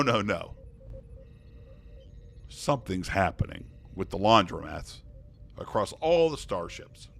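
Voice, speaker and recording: Gravelly low-voiced man streaming. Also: fairly bright tone. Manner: easygoing, thanks viewers, jokes in character as a starship commander. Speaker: poker streamer. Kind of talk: casual live-stream chat while playing online poker.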